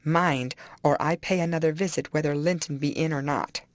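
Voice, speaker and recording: A woman's voice: low-pitched, moderate pace, no echo, natural voice. A woman voicing an anguished, fiercely passionate man in a dramatised reading of a classic novel, intense and earnest. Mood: commanding.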